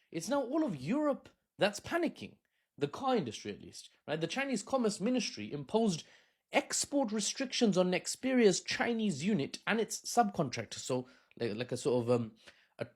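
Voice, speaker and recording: A man hosting a casual political commentary, animated and joking with sarcastic asides. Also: sits high. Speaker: host.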